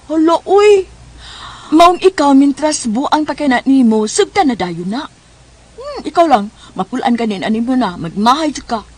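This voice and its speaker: A woman's voice: high voice, sing-song, moderate pace, a little mellow. A woman with a Cebuano Filipino accent playing a nosy, teasing confidante in a radio drama. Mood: teasing, urging.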